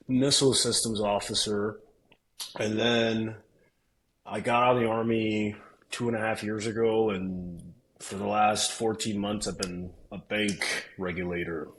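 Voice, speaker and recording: Low-voiced young male podcast guest. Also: slight background noise, rough recording. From born in Nigeria, grew up in the United States. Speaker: podcast guest, bank regulator. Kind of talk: casual self-introduction.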